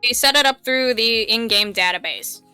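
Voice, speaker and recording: A woman's voice: on the high side, moderate pace, sharp tone, clean tone, soft background music. A woman streamer chatting casually and enthusiastically about details as she co-hosts a tutorial. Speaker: streamer co-hosting a visual novel tutorial. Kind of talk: casual chat.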